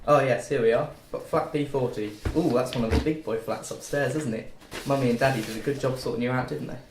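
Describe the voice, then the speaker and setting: Male reader as sarcastic receptionist for student film read, fast and teasing, echoey recording. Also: medium pitch, close to an everyday voice. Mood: teasing, blunt. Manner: teasing, blunt, calls people love. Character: slow, cheeky, sarcastic female university halls receptionist. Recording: noisy, echoey room.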